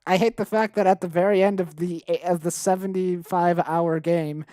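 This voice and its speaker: A man co-hosting a gaming podcast, joking in a flat, monotonous deadpan. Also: high voice, normal speed, dry recording. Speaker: podcast co-host. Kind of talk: casual gaming podcast banter.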